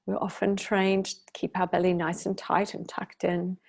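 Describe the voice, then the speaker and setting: A woman guiding a meditation as its teacher, speaking in a soothing, unhurried way with long pauses. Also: fairly low pitch, flat delivery, creaky, darkish tone, husky. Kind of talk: guided meditation.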